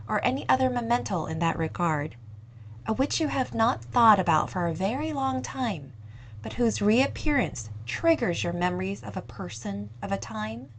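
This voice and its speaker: A woman's voice: mid-range voice, slight background noise, lightly acted. A woman reading the part of a lonely, reflective older bachelor who speaks politely and thoughtfully. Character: reflective older bachelor man, gentle and wistful.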